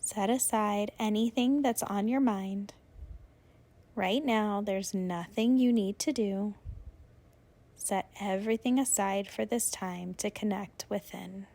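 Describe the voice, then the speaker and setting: A woman instructor leads a guided meditation for deep rest. Her voice sits at an average pitch for a woman. She speaks in a soothing, unhurried way and leaves long pauses between instructions. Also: gravelly, dark tone. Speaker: yoga nidra instructor.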